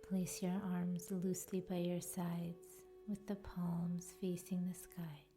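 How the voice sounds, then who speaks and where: A woman with a soft, breathy voice that often nears a whisper, guiding a meditation in a soothing, deliberate manner. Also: on the low side. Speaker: podcast host and yoga nidra guide. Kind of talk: guided meditation narration. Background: faint music.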